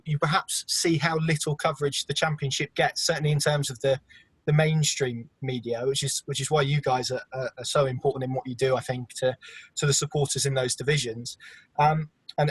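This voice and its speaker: A male host with a slight Norfolk accent and a somewhat higher voice opens a casual football chat, often stumbling and repeating words as he begins. The recording is dry and close, with no room sound. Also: slightly rough, no echo, rough recording. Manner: hesitant, repeats words when starting sentences.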